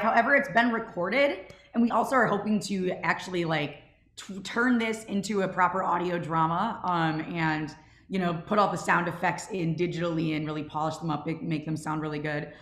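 A woman's voice: fairly low pitch, moderate pace, fairly bright tone, reverberant. A young woman casually hosting a question-and-answer session over a video call. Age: young adult. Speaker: Q&A moderator from a student audio drama club. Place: indoors at home on a group video call. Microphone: video call microphone.

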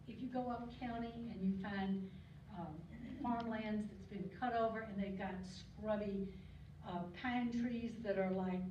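Older woman giving a chatty slide talk in a hall. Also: mid-range voice. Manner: chatty, digressive, frequent ums and throat clearing. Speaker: guest speaker on local birds. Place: lecture hall. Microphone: podium mic, recorded by a far camera. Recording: distant echoey hall recording, muffled, noisy.

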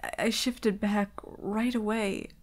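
Woman acting a slow, halting, anxious werewolf girlfriend. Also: mid-range voice, expressive. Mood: hurt, sorrowful. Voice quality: soft, breathy whispering. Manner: hesitant, halting, stammering, often whispering. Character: anxious, vulnerable werewolf girlfriend baring her fears. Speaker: ASMR roleplay performer. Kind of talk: ASMR roleplay, intimate monologue.

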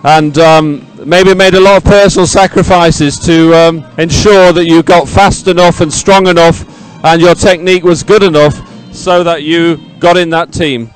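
A man's voice: on the high side, steady tone, moderate pace, hardly any pauses, clear, resonant tone, soft background music, low-quality recording. A man giving live, ad-libbed sports commentary in a chatty, rambling, enthusiastic way.

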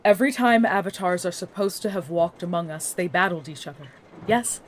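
An actress playing an earnest young scholar, speaking in formal, precise, methodical phrases for a fantasy audio drama. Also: female voice, fairly low pitch, a little fast, slight background noise, close to an everyday voice, rough recording. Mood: intent. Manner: formal, precise, bookish wording.

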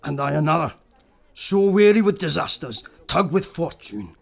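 A man's voice: a little high, very animated, normal speed, gravelly, full character voice. A man playing a weary, reckless hired murderer in a classical Shakespearean radio drama. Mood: bitter, deferential.

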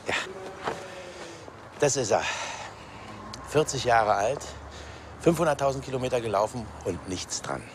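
A man's voice: medium pitch, animated, a little slow, pauses often, background noise, natural voice. An actor playing a proud man showing off and selling his old diesel car in a TV crime drama. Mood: boastful. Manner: proud, salesman-like. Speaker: car seller.

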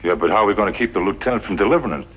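An actor playing a nervous, hurried outlaw henchman in a 1950s radio western. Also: on the low side, animated, natural voice. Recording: noisy, dull 1950s radio recording.

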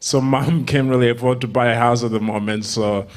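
A man in his twenties from Rwanda, a comedian guest telling casual, humorous stories haltingly into a handheld stage mic. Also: medium pitch, steady tone. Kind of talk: casual humorous storytelling in interview. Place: theatre stage before a seated audience. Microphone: handheld microphone.

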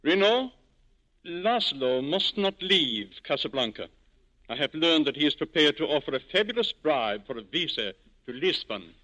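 An actor playing a stern, commanding military officer in 1940s radio style, clipped and formal. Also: male voice, fairly high pitch, very animated, normal speed, sharp tone, full character voice. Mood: stern, urgent. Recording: dull 1940s radio recording.

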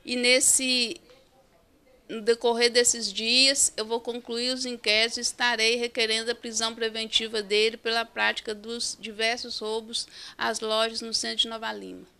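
Creaky, bright woman, level intonation. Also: on the high side, level tone, moderate pace. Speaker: police chief investigator. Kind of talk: formal interview statement. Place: small office, indoors. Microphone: handheld interview mic.

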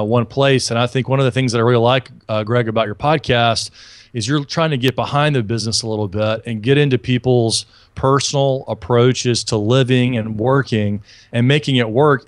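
A man's voice: medium pitch, no room sound. A man in his thirties from Alabama, a guest chatting upbeat and talkative on a video call through an earbud headset mic, restarting his sentences often. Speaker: podcast guest. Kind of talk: casual video-call interview.

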